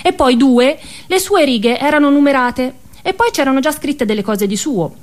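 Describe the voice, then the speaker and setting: A woman's voice: sits high, lively intonation, normal speed. A woman with a Tuscan accent tells a first-person story as an actress in a stage monologue.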